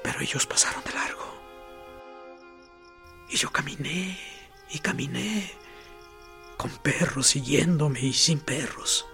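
An actor playing a wistful boy who recalls childhood longings in a hushed radio-play monologue. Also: on the high side, takes it slowly, pauses often, exaggerated voice. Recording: music bed under the voice.

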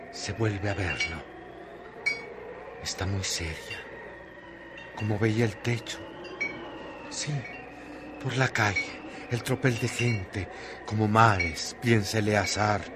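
A man's voice: lowish voice, takes it slowly, pauses often. A man performing as a brooding narrator of a literary radio drama, speaking in a poetic, dramatic way. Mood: somber, lyrical. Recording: very noisy radio drama recording.

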